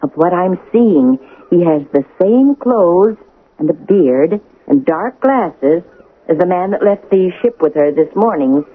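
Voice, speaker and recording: An actress playing a chatty, nosy, suspicious wife on a cruise in a radio drama. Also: female voice, lowish voice, animated, unhurried. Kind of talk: 1980 radio drama acting. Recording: tinny, noisy old radio broadcast.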